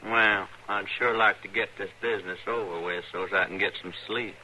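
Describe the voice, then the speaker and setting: An actor playing a callous, obedient young frontier son, folksy and surly, in an old radio western. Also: male voice, fairly low pitch, steady tone, normal speed, pushed and bright. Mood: unconcerned. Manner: folksy, matter-of-fact, surly. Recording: noisy old radio recording.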